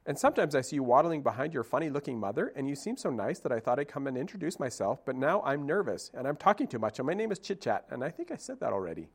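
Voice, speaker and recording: A man's voice: mid-range voice, sing-song, fast talker, hardly any pauses, breathy edge, pitched above the performer's own voice. A man voicing a nervous, chatty young female squirrel who rambles on without a breath, in a storybook reading. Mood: rambling.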